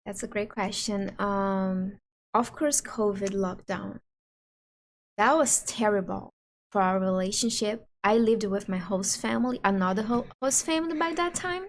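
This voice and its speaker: Woman, english, casual chat, laughing, middle pitch. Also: measured pace, slight background noise. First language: Portuguese. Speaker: channel host.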